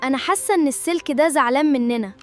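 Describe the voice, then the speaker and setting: A woman voicing an excitable young kid in a comic cartoon, with an Egyptian accent. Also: sits high, very fast, close to an everyday voice. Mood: nervous. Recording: music behind the voice.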